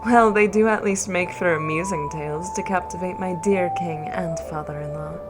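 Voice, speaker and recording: A woman's voice: fairly low pitch, normal speed, lightly acted. A woman playing a gracious, deferential noble huntress in a fantasy audio drama, speaking in a formal, polite way. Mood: respectful, fond. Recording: loud music under the voice.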